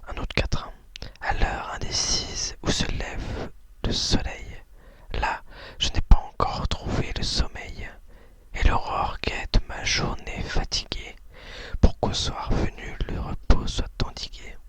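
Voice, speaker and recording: A woman whispering softly and breathily in an unhurried, hesitant ASMR style, as host of talk and poetry readings. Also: dry recording.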